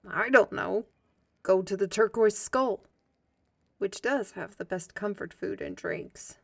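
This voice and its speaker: A woman's voice: medium pitch, slow pace, gravelly, no room sound. A woman voicing a weary female steward who talks in a subdued, deflecting way.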